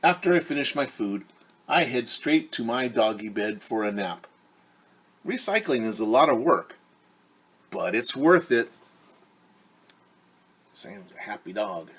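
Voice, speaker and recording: Slow-talking husky man teaching story time. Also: medium pitch, big pitch swings, a bit bright. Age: middle-aged. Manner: repeats and stretches words for young listeners. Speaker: teacher reading a picture book aloud. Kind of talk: children's story time with simple explanations. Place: small room at home, indoors.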